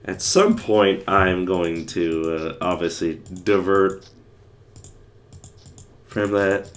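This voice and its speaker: Hoarse deep-voiced young man streaming. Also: lively intonation, extremely slow. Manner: rambling, many fillers and restarts. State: hoarse, losing his voice. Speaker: livestreamer playing a game. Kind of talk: casual gameplay commentary.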